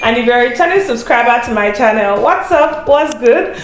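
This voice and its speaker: A woman's voice: on the high side, a little fast. Laughing woman in an echoey room. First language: Igbo. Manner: laughs through the telling, animated. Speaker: YouTube host. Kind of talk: casual storytelling chat.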